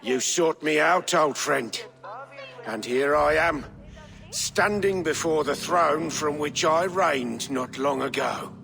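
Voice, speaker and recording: An actor playing a bitter deposed king in a video game, speaking gravely and with menace. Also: a little high, sharp tone, full character voice. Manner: slow, grave, ominous. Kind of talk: video game cutscene acting.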